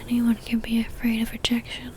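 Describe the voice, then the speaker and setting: A woman voicing a lovestruck, possessive vampire in a soft, breathy ASMR whisper, speaking intimately and coaxingly close to the microphone. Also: on the high side, monotone, brisk, slight background noise, lightly acted. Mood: tender, lovestruck. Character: possessive lovestruck female vampire stalker, centuries old.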